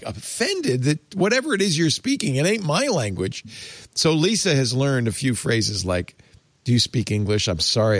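A man in his sixties hosts a relaxed talk show with easy, chatty warmth in his delivery. His pitch swings widely as he talks, and the recording is dry and close with no room sound. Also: slightly rough, no echo. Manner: animated, chatty, quick to laugh. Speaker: podcast host. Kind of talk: casual chat.

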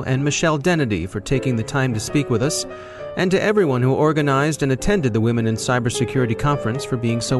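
A man hosting a podcast, reading the closing credits in a brisk, formal way. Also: medium pitch. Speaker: podcast host. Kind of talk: scripted credits read. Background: guitar music.

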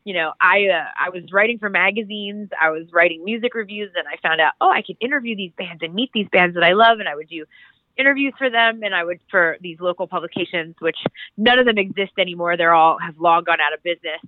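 A woman's voice: lowish voice, quick pace, no room sound. Stuffy-nosed woman on a tinny line. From Philadelphia area, US. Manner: chatty, rambling with fillers and restarts. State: stuffed up with a cold, nasal. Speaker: music publicist, podcast guest. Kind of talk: casual interview storytelling.

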